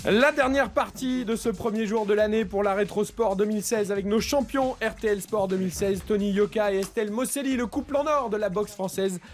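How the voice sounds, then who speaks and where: Fast, high-pitched male radio host. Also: soft background music. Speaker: radio sports show host. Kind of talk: lively sports retrospective hosting. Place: radio studio at a round-table desk. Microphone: studio desk microphone.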